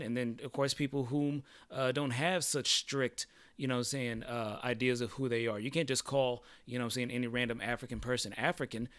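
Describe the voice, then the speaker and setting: A man's voice: medium pitch, fairly bright tone, no room sound. A man hosting a livestream, reacting casually in a rambling, profane way, often repeating "you know what I'm saying.". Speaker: livestream host.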